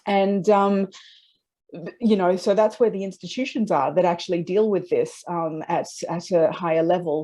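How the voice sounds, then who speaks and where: A woman being interviewed, telling personal stories in a conversational, reflective and expressive way with small check-in questions. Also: lowish voice. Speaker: interview guest, transpersonal psychology practitioner.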